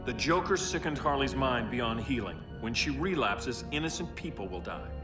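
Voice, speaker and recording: A man playing a grim, self-righteous superhero turned ruler in a dramatic video game, speaking gravely and with command. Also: medium pitch, expressive, normal speed, natural voice. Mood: cold. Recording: echoey, distant, music behind.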